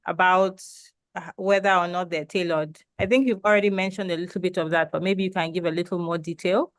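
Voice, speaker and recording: Moderator, mid-pitched, crisp and dry sound; hesitant, um-filled hosting of a Q&A. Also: a bit bright, dry recording. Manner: hesitant, frequent ums and restarts. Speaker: webinar moderator. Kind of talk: moderating a webinar Q&A.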